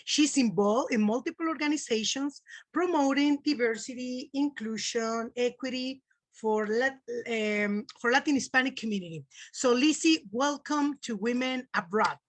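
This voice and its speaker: Woman, podcast host, friendly, hesitant, higher voice, dry close sound. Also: moderate pace, no echo. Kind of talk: interview hosting.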